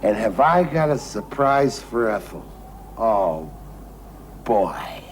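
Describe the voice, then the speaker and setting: An actor playing a boastful, sentimental and tipsy American gambler in a television drama, rambling and repeating himself with emphasis. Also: male voice, fairly low pitch, very animated, slow. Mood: dreamy, sentimental. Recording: noisy old television drama soundtrack.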